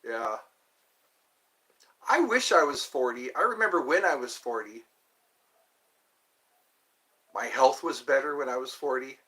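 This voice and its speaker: Man, 50s, livestream host, rambling casual chat, self-deprecating jokes, lively pitch. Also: moderate pace. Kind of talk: casual chat answering viewers.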